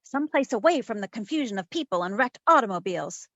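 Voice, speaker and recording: A woman playing a sassy, quick-witted private detective, narrating her case in old-time radio drama style. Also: a little high, animated, a bit bright, no echo, highs cut off. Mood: brisk, wry. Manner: wry, wisecracking.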